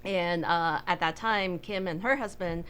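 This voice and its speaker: A woman raised in Taiwan, speaking in a fairly low voice as a guest in a casual interview. She hesitates often, filling pauses with ums and uhs. A faint hum sits under the recording. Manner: hesitant, many fillers, laughs easily. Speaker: bakery co-owner, guest.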